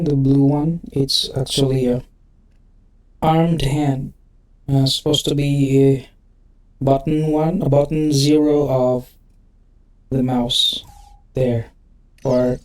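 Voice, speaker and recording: Unhurried, halting gamer in a roomy space. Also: mid-range voice, a little mellow. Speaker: gaming video host. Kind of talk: casual gameplay commentary. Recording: roomy echo, game music behind. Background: video game music.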